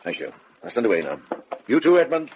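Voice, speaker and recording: An actor playing a wise older monk herbalist in a radio drama, measured, practical and gently admonishing. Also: male voice, medium pitch, big pitch swings, lightly acted. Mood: brisk, careful. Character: wise older Welsh monk herbalist, kindly but brisk. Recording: dull, hissy radio drama recording.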